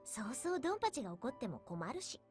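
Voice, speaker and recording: Easygoing visual-novel soldier gal: high register, quick delivery, teasing, frank, over music. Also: female voice, very animated, a little mellow. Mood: breezy. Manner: casual, teasing. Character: breezy, outspoken young female soldier, playful and easygoing. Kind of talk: visual novel anime-style voice acting. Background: game background music.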